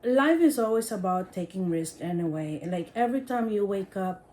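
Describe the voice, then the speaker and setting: A woman's voice: medium pitch, lively intonation, moderate pace, mellow. A woman reading game questions aloud and teasing her husband in a casual chat. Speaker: wife asking the game questions.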